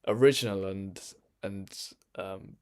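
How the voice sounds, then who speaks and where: Guy on his first stream in his bedroom, rambly and umming a lot, lowish voice. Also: steady tone, slow pace, a little mellow, clean tone. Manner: rambling, lots of ums and restarts. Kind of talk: casual live-stream chat.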